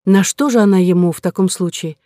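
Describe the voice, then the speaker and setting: A woman's voice: on the low side, fairly quick, mellow, dry recording, clean studio sound, natural voice. A woman voicing a proud, hot-tempered young noblewoman who asks pointed questions in an audiobook novel. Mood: probing, sceptical.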